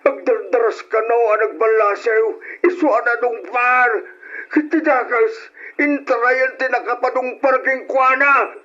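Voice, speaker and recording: A man's voice: extremely high pitch, steady tone, unhurried, pushed and bright, exaggerated voice. An actor playing an alarmed village man bringing urgent news in an old-time radio drama style. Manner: urgent, calling out. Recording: muffled old radio recording.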